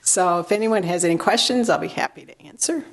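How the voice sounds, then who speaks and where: A hoarse woman with a fairly low voice gives a short, halting update at a city council meeting, filling her pauses with ums. She sounds middle-aged. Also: expressive. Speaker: county commissioner. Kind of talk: public meeting remarks. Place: council chamber.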